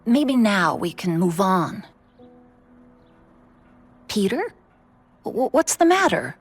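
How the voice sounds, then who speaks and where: An actress playing a caring older aunt in a video game, tender and reassuring in manner. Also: female voice, medium pitch, sing-song, slow pace, husky, exaggerated voice. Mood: relieved, concerned.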